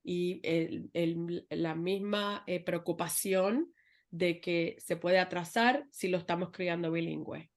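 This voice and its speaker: A woman's voice: fairly low pitch, fairly even intonation, slow pace. A woman hosting a podcast interview, talking in a friendly way and checking in with her guest. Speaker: podcast host. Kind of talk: conversational interview.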